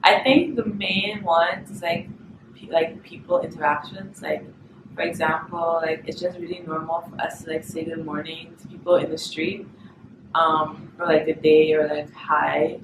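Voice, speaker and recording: A woman's voice: low voice, fairly even intonation, moderate pace. A young woman from Barbados, chatting about home as an international student and filling her talk with "like". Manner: chatty, fills speech with "like". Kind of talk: documentary interview. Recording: echoey room with clear noise.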